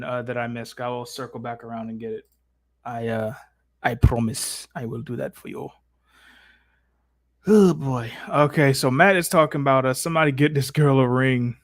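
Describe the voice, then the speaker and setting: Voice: medium pitch, no room sound. Informal host for live audience questions, mid-range voice, slangy and repetitive, dry sound. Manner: informal, slangy, repeats phrases. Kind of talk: casual live Q&A chat.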